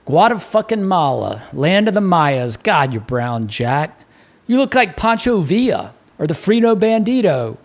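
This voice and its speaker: A man's voice: high voice, big pitch swings, a little slow, voice pushed up, heavily acted. A man voicing a breezy, bantering bartender, an old pal full of wisecracks, in an audiobook. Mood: jovial greeting. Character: ruddy Irish-American male bartender, bantering old pal.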